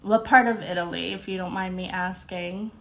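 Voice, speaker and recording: A woman's voice: fairly low pitch, steady tone, normal speed, a bit bright. Bubbly congested woman hosting a live stream. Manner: bubbly, greets viewers by name, fillers. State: congested, slightly nasal. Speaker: podcast host. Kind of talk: casual live-stream chat.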